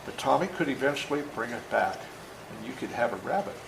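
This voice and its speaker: An older man reads his own story aloud to children in an animated, folksy way, stopping for asides to explain things. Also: medium pitch, brisk, creaky. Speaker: author reading his own book to schoolchildren. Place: indoor room at a school. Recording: echoey room with faint background noise.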